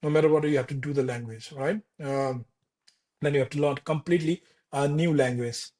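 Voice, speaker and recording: A man in his thirties from Nepal with a Nepali accent, speaking as an interview guest in a casual, halting way with many restarts and fillers. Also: fairly high pitch, steady tone, darkish tone. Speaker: architect guest. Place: Denmark.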